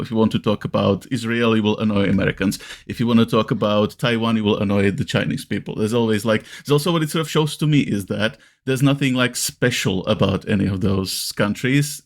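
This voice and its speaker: A man's voice: fairly low pitch, creaky. A man with a Czech accent talking casually as a podcast host, rambling and often restarting his sentences. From Czech Republic. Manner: halting, rambling, frequent self-restarts.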